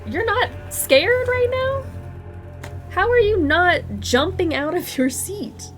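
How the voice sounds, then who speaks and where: A woman's voice: very high-pitched, animated, slow pace, fairly bright tone, faint music behind. A woman streaming a horror game, chatting casually with her viewers and laughing often, her nose stuffed up from a cold. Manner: chatty, giggly, reads in-game notes aloud. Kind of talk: casual live-stream commentary.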